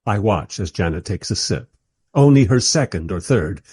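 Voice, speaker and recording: An actor playing a wry, irreverent writer in a radio play, speaking in a dry, measured, slightly husky way as narrator and polite interviewer. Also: male voice, low-pitched, expressive, darkish tone.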